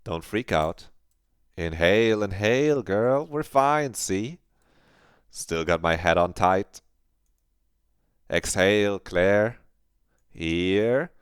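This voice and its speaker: A man's voice: fairly low pitch, expressive, takes it slowly, pauses often, clear, resonant tone, dry recording, clean studio sound. A man voicing a confident, playful teenage girl who teases and coaxes in a sing-song way. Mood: coaxing. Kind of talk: visual novel read-aloud.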